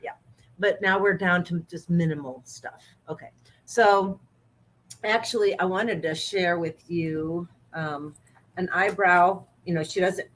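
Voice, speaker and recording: A woman, talking casually through a makeup demonstration with lots of laughter and gentle instructions. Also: fairly low pitch, unhurried. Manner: chatty, giggly, gently bossy. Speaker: makeup artist.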